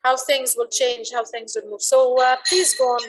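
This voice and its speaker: A woman's voice: sits high, steady tone, moderate pace. A woman moderating an online conference panel over a video call, introducing speakers and asking questions in a friendly way.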